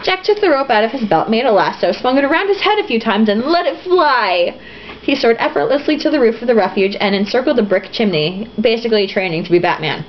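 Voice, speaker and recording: A woman with a fairly high, very lively voice reads a story casually and irreverently, dropping in sarcastic, sweary commentary. The recording is a muffled, lo-fi home one with faint noise. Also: fast talker. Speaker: host reading a novel aloud with joking asides. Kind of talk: informal comic read-aloud with casual, profane asides.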